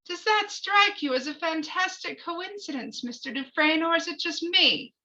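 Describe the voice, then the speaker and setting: Woman playing a sardonic, high-voiced courtroom prosecutor. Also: animated, pushed and bright, full character voice. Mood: sarcastic, accusing. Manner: accusatory, deliberate, sardonic. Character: pressing, sarcastic courtroom prosecutor questioning a witness. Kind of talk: dramatic screenplay reading. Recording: dull, lo-fi recording.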